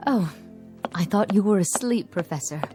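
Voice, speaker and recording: A woman's voice: medium pitch, expressive, normal speed, close to an everyday voice. A woman playing a devoted, solicitous housekeeper. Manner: deferential, solicitous. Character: devoted, deferential housekeeper, anxious and caring. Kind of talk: radio drama acting. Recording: music clearly heard behind voice.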